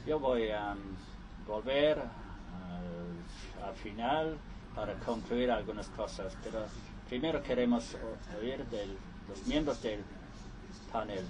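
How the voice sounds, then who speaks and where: Slow, halting male interpreter, noisy background. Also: on the low side. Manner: halting, with frequent restarts. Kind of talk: live interpretation of conference speeches.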